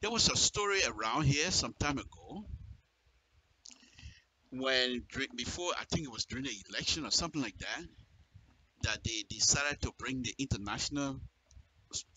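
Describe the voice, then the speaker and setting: A man's voice: medium pitch, animated, moderate pace, pauses often, sharp tone, slightly rough, low-quality recording. A man with a Liberian accent giving impassioned political commentary in direct address, emphatic and repeating phrases for effect. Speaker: radio commentator.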